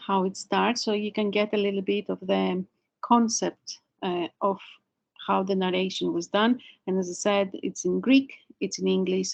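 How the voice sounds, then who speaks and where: A woman's voice: medium pitch, gravelly, a little mellow. A woman giving an informal online webinar presentation, explaining things as a presenter. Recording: dull lo-fi webinar audio.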